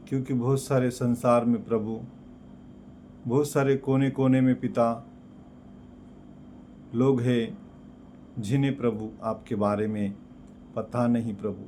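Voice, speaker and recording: Slow, halting man preaching. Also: medium pitch, warm tone, slight background noise. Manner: slow, halting delivery with many repeated "Lord" and "Father" fillers. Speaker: preacher giving a testimony. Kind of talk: prayer and personal testimony.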